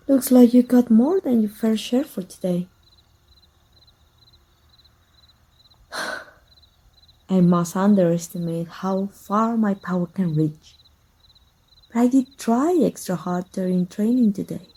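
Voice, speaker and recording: A woman playing a jealous, possessive villain in an intimate ASMR roleplay, soft-spoken, teasing and menacing. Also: medium pitch, breathy edge. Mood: teasing, probing.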